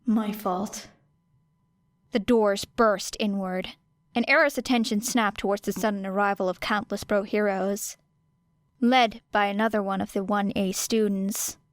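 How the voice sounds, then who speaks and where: A woman narrating a fiction audiobook in a deliberate, expressive, dramatic style. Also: mid-range voice, dry recording.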